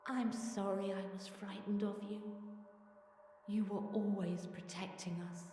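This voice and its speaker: A woman voicing a gentle, weary spirit in a video game, hushed, solemn and pleading. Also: mid-range voice, fairly even intonation, pitched above the performer's own voice, lightly acted. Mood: tender, sorrowful. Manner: hushed, slow, solemn delivery. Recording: echoing hall reverb, music behind.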